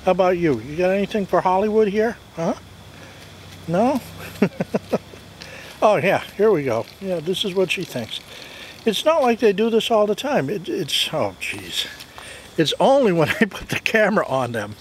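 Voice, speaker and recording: A man's voice: high-pitched, big pitch swings, moderate pace, a little hiss or hum, poor audio. A man in his forties giving a casual, jokey walk-around of his half-built barn outdoors, recorded on a handheld camera. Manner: chatty, jokey, talks to his goats. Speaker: homesteading vlogger. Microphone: handheld camera mic.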